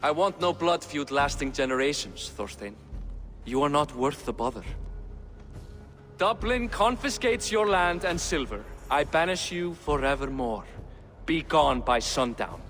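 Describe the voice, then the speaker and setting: A man's voice: fairly high pitch, lively intonation, unhurried, fairly bright tone, faint music behind. A man playing a shrewd, dignified Norse king in a video game, stately and authoritative. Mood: cold judgment. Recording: reverberant hall, faint ambient noise.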